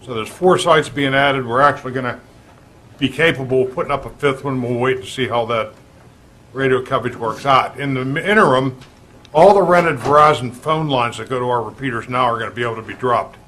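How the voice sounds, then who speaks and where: A man, a local official, giving an informational report at a public meeting in halting short phrases. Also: medium pitch, bright, forceful tone, slight background noise, low-quality recording. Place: indoor public council meeting.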